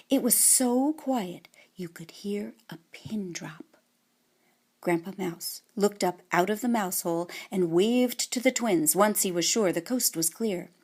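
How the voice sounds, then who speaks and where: A woman narrating a children's audiobook in an expressive, scripted storytelling manner. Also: medium pitch.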